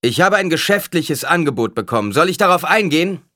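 A man voicing a businessman who petitions an oracle in an audiobook, calling out loudly. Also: a little high, sing-song, fairly quick, voice pushed up. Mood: calling out, eager.

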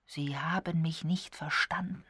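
A woman's voice: low-pitched, steady tone, fairly bright tone, husky, no room sound, studio quality, heavily acted. A woman voicing an agitated, disbelieving man in a storybook, speaking urgently and exclaiming. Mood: stunned, disbelieving. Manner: urgent, exclaiming, halting repetitions.

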